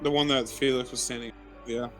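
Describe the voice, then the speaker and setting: A man's voice: medium pitch, steady tone, brisk, a bit bright, faint music behind. A man playing in a tabletop game, cursing playfully and joking at the table. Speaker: player. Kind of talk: casual chat at a tabletop game.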